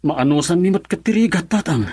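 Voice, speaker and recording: A man with an Ilocano accent and a husky voice playing a stubborn, wounded middle-aged farmer husband in a radio drama. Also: high-pitched, animated, fairly quick, darkish tone, dry recording. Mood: aggrieved.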